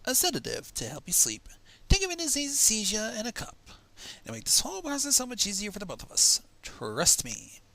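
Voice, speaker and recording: Prim lady pony for a cartoon story reading, bright and affected, very lively pitch male performer. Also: fairly quick, creaky, no echo, exaggerated voice. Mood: reassuring. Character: prim, theatrical female cartoon pony, fussy and genteel.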